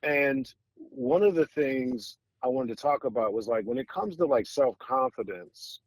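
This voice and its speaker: A man's voice: mid-range voice, animated, a little slow, dry recording. An American man hosting a podcast interview in a casual, conversational style, with meandering and admiring questions. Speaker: podcast host interviewing guests.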